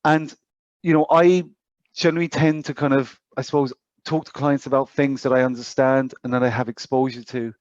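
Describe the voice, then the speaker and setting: British man, financial advisor, informal online presentation, hesitant explanatory manner, slightly higher-pitched voice, dry muffled call audio. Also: flat delivery, fairly quick, a little mellow, no echo.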